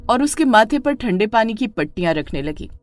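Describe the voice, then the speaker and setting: A woman's voice: fairly high pitch, big pitch swings, normal speed, soft background music. A woman narrating a scripted dramatic story as its storyteller.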